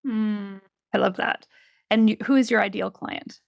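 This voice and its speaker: A woman hosting a podcast asks questions in a relaxed, easy tone. Her voice has a gravelly edge, and the recording is dry and close. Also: medium pitch, normal speed, no echo, muffled recording. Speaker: podcast host. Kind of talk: casual interview chat.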